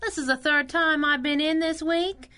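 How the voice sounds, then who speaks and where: A woman's voice: high-pitched, normal speed, pushed and bright. An actress playing a plucky young female driver and crime-fighting sidekick who talks in brash, slangy wisecracks, in a radio drama. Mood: spunky. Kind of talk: 1930s radio drama acting.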